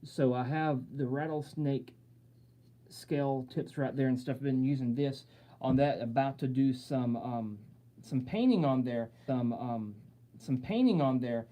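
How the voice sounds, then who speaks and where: Man, Southern US accent, young adult, mellow voice, earnest, rambling, live Q&A host. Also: medium pitch, a little slow. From southern United States. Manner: rambling, earnest, repeats himself, friendly address. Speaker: wood carving channel host. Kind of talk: live-stream Q&A chat. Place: home workshop with lights.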